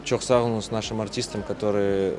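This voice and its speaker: A man giving an on-site interview in short, halting phrases. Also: on the low side, flat delivery. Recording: busy crowd noise behind. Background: crowd and venue bustle.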